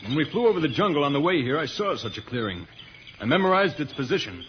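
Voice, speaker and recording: An actor playing an earnest, protective space hero and guide, speaking gravely in old-time radio serial style. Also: male voice, fairly high pitch, very animated, fast talker. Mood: worried, serious. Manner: grave, explanatory. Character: earnest, protective male space hero guiding through jungle. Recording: muffled old radio transcription, loud noise.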